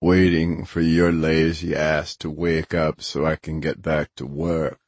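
Man voicing a rumbling, gruff, stocky hacker guy. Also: little pitch movement, measured pace, a little mellow, dry recording, exaggerated voice. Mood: gruff, needling. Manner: blunt, profane, needling. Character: tall stocky gruff sardonic hacker friend, male, middle-aged.

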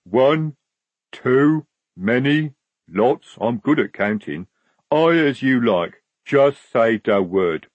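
A big, willing troll recruit voiced by a male storyteller in a fantasy storybook. The speech is slow and drawn out, with a husky edge. She sounds simple, literal and eager to help. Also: mid-range voice, lively intonation, dry recording, highs cut off. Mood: cheerfully willing.

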